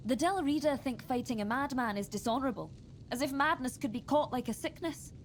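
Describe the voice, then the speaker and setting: A woman voicing a grieving widow in a fantasy role-playing game, sharp-tongued yet sorrowful. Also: high voice, a little fast, a little hiss or hum, close to an everyday voice. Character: grieving, bitter widowed tribeswoman. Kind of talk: video game voice acting.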